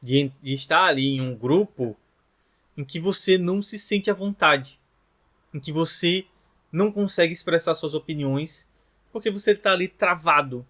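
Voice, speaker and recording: Man, 30s, Rio Grande do Norte accent, slightly high pitch, chatty teacher tone. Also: a bit bright. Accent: Brazilian Portuguese, from Rio Grande do Norte. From Natal, northeastern Brazil. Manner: chatty, ends phrases with tag questions. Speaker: language teacher. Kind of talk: informal lesson to camera.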